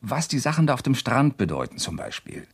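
An actor playing a dogged, troubled investigator in a German radio crime drama, husky and terse, with an insistent questioning manner. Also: male voice, mid-range voice, big pitch swings, brisk, exaggerated voice. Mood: urgent, pleading. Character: dogged, troubled male investigator probing a suspected murder.

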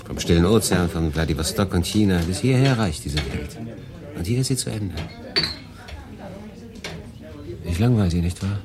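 Weary wartime refugee in a radio drama, lowish voice, rambly and confiding, busy cafe behind him. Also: male voice, animated, fairly quick. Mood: quiet, reflective. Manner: confiding, rambling, addressing a silent listener. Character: restless male German refugee stranded in wartime Marseille, world-weary. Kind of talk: radio play acting, conversational monologue. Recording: busy café murmur behind. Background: crowd in a café.

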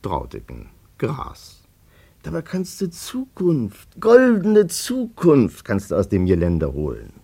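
A smooth-talking, boastful ladies' man in a radio play. His voice is husky and swings widely in pitch. He pitches schemes with chummy, persuasive salesman patter. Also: huge pitch swings, slow pace, dark tone, exaggerated voice. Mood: eager, persuasive. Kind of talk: German radio play acting.

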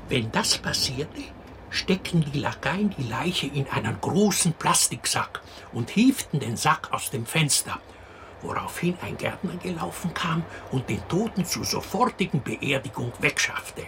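An actor playing a wry, expressive fairy-tale storyteller in a radio drama. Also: male voice, a little high, unhurried, slight background noise. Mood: wry storytelling. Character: wry, unhurried male teller of an absurd fairy tale.

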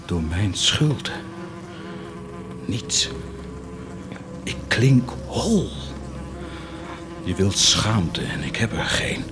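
A man's voice: lowish voice, sing-song, extremely slow, pauses often, full character voice. An actor playing a proud, tormented, brooding man in a theatrical radio drama, moving between muttering and anguished outcries. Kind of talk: stage-like radio drama acting.

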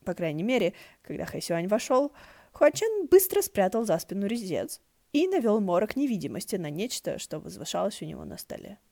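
A woman reading a story aloud as an expressive audiobook narrator. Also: mid-range voice, fairly quick, no echo, clean studio sound. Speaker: audiobook reader.

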